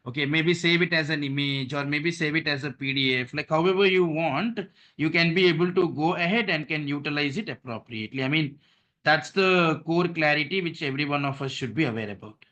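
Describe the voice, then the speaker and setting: Male trainer, bright higher voice, steady step-by-step instructions over a video call. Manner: repeats "okay" and "right", walks through steps on screen. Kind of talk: online training session.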